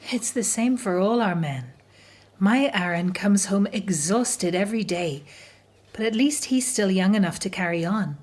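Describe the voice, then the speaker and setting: A woman's voice: mid-range voice, expressive, measured pace, a little mellow. An actress in a radio drama playing a fearful, anguished young Hebrew mother, grave and despairing about life under slavery. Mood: resigned, worried.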